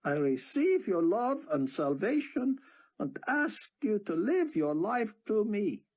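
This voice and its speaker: A man's voice: high voice, sing-song, slow, voice pushed up, exaggerated voice. A man voicing a fervent male street preacher who proclaims and leads a prayer. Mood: exhorting. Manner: loud, preaching, sing-song. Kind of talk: audiobook character voice.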